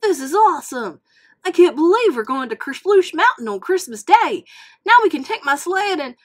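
A woman voicing an excitable, folksy male tow truck in a storybook read-aloud, loud and bouncy with country slang. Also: high-pitched, a little slow, pitched above the performer's own voice, full character voice. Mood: excited.

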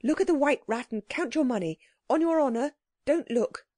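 A bossy storybook boy urges and commands his siblings in a raised, insistent voice, as a woman reader plays him. The voice is pitched higher than the narration, warm and mellow in tone. The sound is dry and close. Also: expressive, normal speed, dry recording. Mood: urgent. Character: earnest, bossy young boy.

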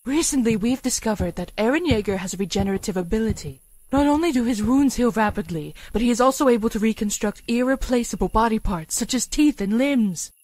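A woman playing a manic, obsessively curious military scientist in an audio drama, with an excitable, theatrical delivery. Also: on the high side, brisk, dry recording, poor audio. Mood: probing. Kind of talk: amateur audio drama acting. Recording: dramatic music under the voice.

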